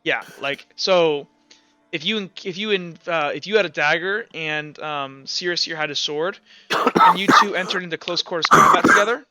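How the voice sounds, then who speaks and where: A man with a fairly high, clear voice improvises as game master of a tabletop roleplaying game. His delivery is bright and forward, casual and halting, circling back as he explains. The recording is close and dry. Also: no echo, low-quality recording.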